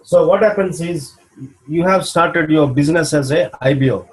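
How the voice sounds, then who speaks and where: A man with a somewhat raised voice walks a listener through steps on a video call. He is patient and steady, tagging each point with a quick "okay?" to make sure he is being followed. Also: on the high side, low-quality recording. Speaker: business mentor. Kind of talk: video call coaching session.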